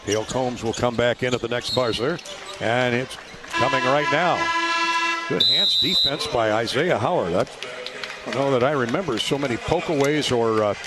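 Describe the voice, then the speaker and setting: A man's voice: mid-range voice, normal speed, loud music behind the voice. An American man doing live play-by-play radio commentary of a basketball game. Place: indoor basketball arena. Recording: clear arena noise behind, ball bounces. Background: game ambience, bouncing balls.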